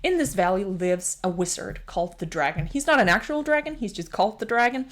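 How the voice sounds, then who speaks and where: A woman's voice: mid-range voice, expressive, a little fast, fairly bright tone. A woman with a Danish accent giving a casual spoken book review, enthusiastic and rambling, often restarting her sentences.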